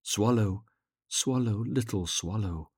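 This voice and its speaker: A sorrowful statue prince played by a man reading a storybook pleads with gentle authority. The voice is solemn and a little husky, somewhat low, recorded dry and close. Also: takes it slowly, no echo.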